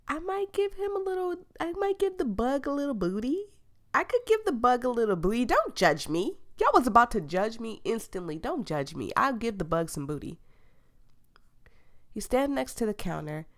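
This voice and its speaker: A woman's voice: on the high side, sing-song, normal speed. A woman, sick and losing her voice, reading a dating game aloud with giggly, playful asides to viewers. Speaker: gaming streamer.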